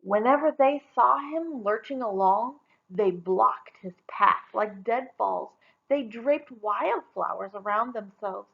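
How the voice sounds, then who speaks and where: A woman reading a children's story aloud in a dramatic, deliberate storytelling manner. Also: mid-range voice, animated, gravelly, highs cut off. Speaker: storybook reader. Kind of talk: expressive children's read-aloud narration.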